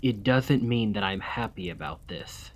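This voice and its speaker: Guy doing a desperate old-world vampire gentleman for asmr, real slow and breathy, courtly and tired of living. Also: lowish voice, lively intonation. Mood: wary. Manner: formal, courtly, old-fashioned phrasing. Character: desperate, weary immortal gentleman vampire of the 1820s. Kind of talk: ASMR roleplay acting.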